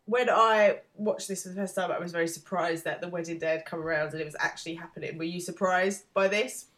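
A woman's voice: on the low side, fairly even intonation, fast talker, fairly bright tone. British woman, blocked nose from a cold, giggly, self-deprecating, casual podcast co-host.

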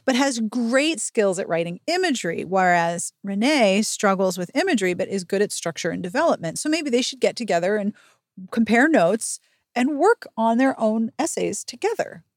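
A woman's voice: medium pitch, lively intonation, normal speed, dry recording. Woman, middle-aged podcast host. Casual recap chat, dry studio sound, sarcastic asides.

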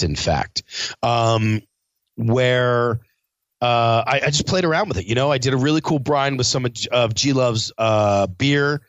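A man's voice: mid-range voice, fairly bright tone, no room sound, muffled recording. A man who works as a chef, talking casually with lots of fillers and restarts, a bit stuffed up from a cold. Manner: rambling, frequent fillers and restarts. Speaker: chef and podcast host. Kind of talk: casual spoken recap.

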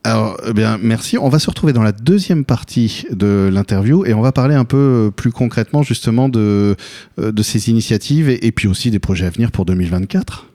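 A man's voice: lowish voice, expressive, a little fast, warm tone. A man hosting a conversational interview, hesitating and rephrasing as he goes. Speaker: interviewer and radio host.

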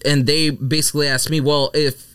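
A man's voice: a little high, level tone, moderate pace, fairly bright tone, clean tone, dry recording. A man co-hosting a podcast, sharing opinions in casual chat with lots of "like" and "I mean". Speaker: podcast co-host.

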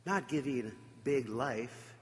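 A man preaching a reflective sermon, emphatic at times and pausing for effect. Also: medium pitch, big-room sound. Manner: reflective, emphatic with dramatic pauses.

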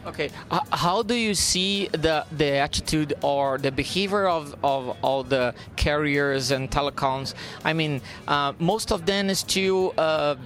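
Brief: man hosting a podcast, slightly high pitch, direct questioner, soft music in the back. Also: takes it slowly, few pauses. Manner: plain, questioning, brief affirmations. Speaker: podcast host. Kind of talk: podcast interview. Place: conference event venue.

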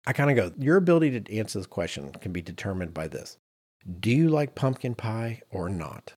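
Male podcast co-host, lower-pitched and a little rough, lively casual storyteller who acts out what people said. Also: fairly quick. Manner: animated anecdote telling with mimicked quotes. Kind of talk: casual banter.

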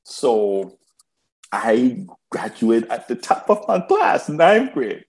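A man from Liberia, a podcast guest telling stories in a slow, emphatic way and often repeating words as he starts a thought. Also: mid-range voice, very animated, darkish tone. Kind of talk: reflective conversational storytelling.

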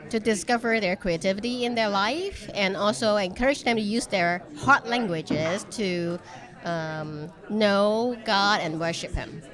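A woman who speaks haltingly, pausing often to find her words. Her pitch is mid-range, and a chattering crowd is clearly heard behind her. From Hong Kong. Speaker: seminary teacher.

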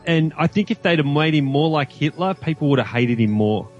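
A man's voice: fairly high pitch, animated, a little fast, lo-fi sound, faint music behind. A man as a podcast guest in casual film discussion, rambling and stammering as he searches for words. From Australia.

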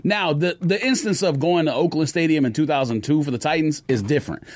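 A man's voice: fairly high pitch, a little fast, no echo. An American man chatting casually about sports as a podcast co-host, informal and anecdotal.

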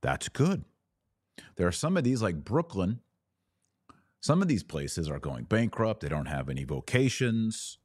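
A low-pitched American man speaks as a podcast commentator on church news. His delivery is opinionated and emphatic, broken by deliberate pauses and dry asides. The sound is close and free of room echo. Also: sing-song, darkish tone.

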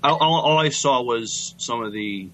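A man co-hosting a podcast in casual chat, speaking in a hesitant, halting way. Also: medium pitch, fairly bright tone, slight background noise, low-quality recording. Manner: hesitant, halting, repeats words. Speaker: podcast co-host.

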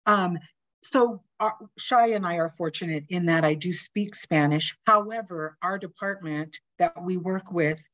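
A woman talks about a home retrofit program in a chatty, informal way, full of fillers. Her voice sits a little low. The audio is dull and lo-fi. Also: unhurried, a bit bright. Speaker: seismic retrofit program staff. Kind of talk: panel discussion.

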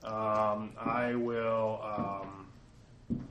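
Casting note: mid-pitched man, casual player, echoey room, clear background noise. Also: flat delivery, extremely slow, lo-fi sound. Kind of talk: casual tabletop play.

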